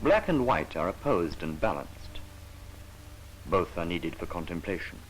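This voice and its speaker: A man with a very deep voice narrates an educational film in the manner of the 1950s. His delivery is solemn and measured, yet the pitch moves expressively through each phrase. The archival soundtrack carries some background noise. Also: big pitch swings, poor audio. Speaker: documentary narrator.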